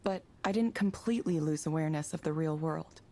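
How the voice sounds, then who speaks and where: An actress playing a reserved, thoughtful new counsellor in a visual novel, speaking in a hesitant, measured way. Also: female voice, low voice, mellow. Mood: tentative. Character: reserved, thoughtful woman, newly hired counsellor in a sci-fi game.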